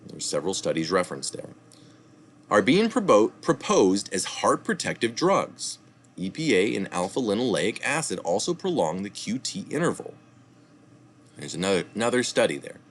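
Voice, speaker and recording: Male reader, low voice with a lively pitch, faint background hiss; steady article reading with asides. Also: moderate pace. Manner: reads steadily, adding asides and correcting slips. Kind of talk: reading an article aloud with spoken citations.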